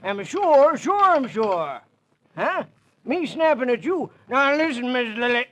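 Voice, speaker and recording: A man's voice: very high-pitched, sing-song, a little slow, fairly bright tone, heavily acted. A male actor playing a fussy, folksy old station agent with an American accent, stammering and repeating himself, in 1940s radio style. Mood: fussy, distracted. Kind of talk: 1940s radio character acting. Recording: noisy old radio recording with clicks.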